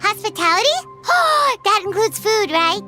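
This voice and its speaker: A tiny, bubbly fairy companion from an anime-style game. The voice is squeaky, childlike and extremely high, bouncing all over in pitch. Clear music plays behind it. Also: female voice, animated, a little slow. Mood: surprised, eager. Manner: exclamatory, refers to self in third person. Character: small childlike floating fairy companion, bubbly and food-loving.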